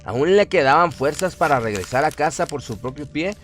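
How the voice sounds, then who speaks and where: A man's voice: on the high side, animated, normal speed, a bit bright. A man narrating a scripted horror story in a dramatic radio style. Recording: prominent music under the voice. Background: music.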